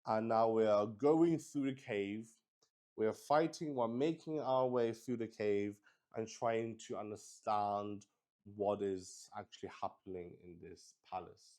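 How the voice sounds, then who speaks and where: Male streamer, lower-pitched, unhurried and rambling; chatty, hesitant explainer for a tabletop roleplaying livestream. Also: a little mellow. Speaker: streamer playing solo tabletop RPG.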